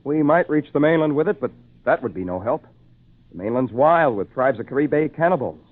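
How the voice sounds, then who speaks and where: A man's voice: medium pitch, expressive, fairly quick, lightly acted. A man playing a kindly, lonely English castaway in an old-time radio drama, with patient, theatrical phrasing. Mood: wistful, kindly. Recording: tinny narrow-band old radio recording.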